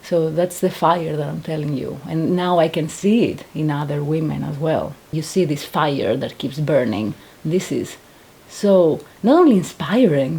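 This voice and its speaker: A woman in her thirties with a Greek accent, her voice low and gravelly with a husky edge. She tells her story earnestly, pausing and restarting as she searches for the right English words. The tone stays dark and mellow throughout. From Greece. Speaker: painter and survivor giving testimony. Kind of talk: personal testimony interview.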